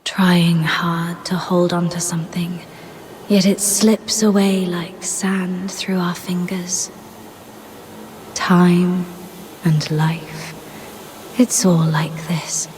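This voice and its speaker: Game role: enigmatic, melancholy woman, soft near-whisper, very unhurried, solemn delivery. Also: lowish voice, slight background noise. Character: mysterious, wistful woman speaking cryptically of fate. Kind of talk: video game dramatic acting.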